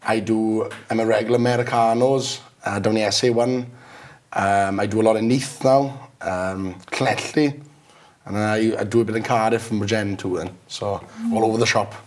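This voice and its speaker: A man in his twenties from Wales with a naturally rough voice, a guest singer chatting haltingly and trailing off. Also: fairly low pitch, steady tone. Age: 20s. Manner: halting, soft-spoken, trailing off. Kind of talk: casual interview chat.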